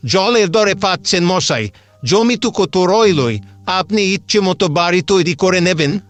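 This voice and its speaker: A man's voice: sits high, expressive, measured pace, a bit bright, voice pushed up. A man voicing a chatty, pushy middle-aged house seller who grumbles and wheedles in colloquial patter in a dramatized horror story. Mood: wheedling. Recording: music behind the voice.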